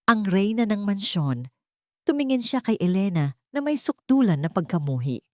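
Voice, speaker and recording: A woman's voice: mid-range voice, sing-song, normal speed, dark tone, no room sound, studio quality. A woman storyteller reading a scripted, dramatic story narration.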